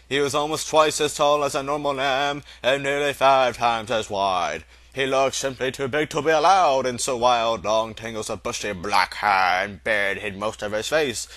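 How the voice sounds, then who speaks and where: A man's voice: mid-range voice, normal speed, fairly bright tone, no room sound. A man narrating a storybook aloud as an amateur reader, in a theatrical, loosely performed style.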